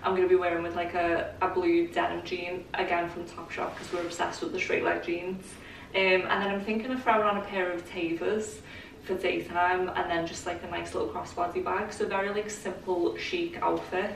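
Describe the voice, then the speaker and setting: A woman's voice: on the low side, little pitch movement, normal speed, gravelly, a little hiss or hum. A young woman, bubbly and laughing easily as she talks through clothes with lots of fillers. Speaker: fashion vlogger. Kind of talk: casual chatty haul. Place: bright bedroom with clothes rail. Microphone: camera mic from across the room. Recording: roomy echo, mic at a distance.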